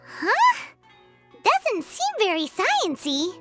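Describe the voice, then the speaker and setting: A woman voicing a small, excitable, childlike fairy companion with a squeaky voice in a video game. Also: drawn-out words, heavily acted. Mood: doubtful. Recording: music behind the voice. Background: game music.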